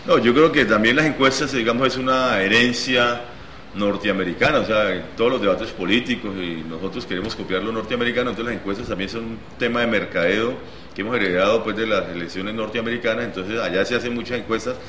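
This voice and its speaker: A man's voice: fairly low pitch, fast, a bit bright. Male analyst, insistent, roomy studio echo, faint hiss. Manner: argumentative, insistent listing. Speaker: panel guest, political analyst. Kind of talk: TV panel debate.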